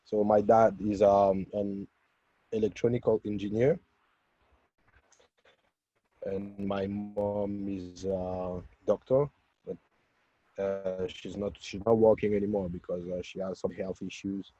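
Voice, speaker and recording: A man from Cameroon telling his life story as an interview guest, in a halting, self-correcting way, on dull webinar audio. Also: on the low side, little pitch movement, warm tone. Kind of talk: autobiographical talk in a webinar interview.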